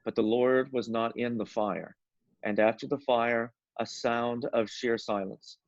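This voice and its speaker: A man's voice: mid-range voice, steady tone, a little slow, dry recording, muffled recording. A man narrating a scripture story as a scripted reading.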